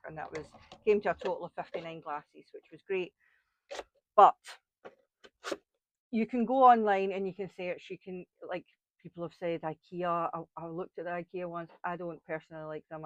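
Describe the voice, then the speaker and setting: A woman's voice: on the low side, no room sound, dull audio. A woman with a Scottish accent, chatting her way through a step-by-step crafting tutorial with blunt, frank asides. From Scotland.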